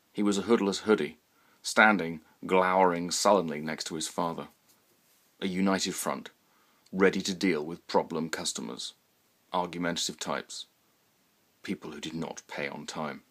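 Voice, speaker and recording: Low-voiced British man reading a story. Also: normal speed, fairly bright tone. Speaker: author reading own short story. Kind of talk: scripted literary narration.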